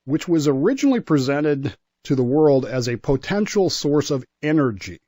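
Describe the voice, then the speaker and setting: A man's voice: fairly high pitch, sing-song, normal speed, dark tone, no echo. Dry-sounding man telling history conversationally. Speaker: regular history commentator on a radio talk show.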